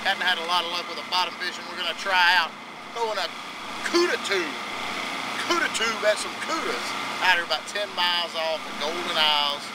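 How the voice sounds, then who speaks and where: A man's voice: high-pitched, animated, fairly quick. A man giving casual, playful commentary while fishing, speaking outdoors from a boat into a handheld camera. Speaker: fishing video host. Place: outdoors on a small boat at sea. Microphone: handheld camera in open air. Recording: loud boat engine and outdoor noise.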